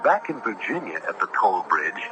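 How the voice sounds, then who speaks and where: Young judge telling a spooky old tale, formal n solemn, really lively pitch, noisy old radio drama. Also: male voice, normal speed, dull audio. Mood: grave, suspenseful. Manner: formal, literary, solemn storytelling. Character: earnest, compassionate young New England judge recounting a ghostly tale. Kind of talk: 1970s radio drama narration and acting. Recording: noisy vintage radio broadcast recording.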